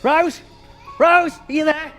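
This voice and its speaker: A man's voice: very high-pitched, fairly even intonation, slow pace, a bit bright, heavily acted. A man playing a worried rescuer searching for a princess in a stage pantomime. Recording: long hall reverb, faint music.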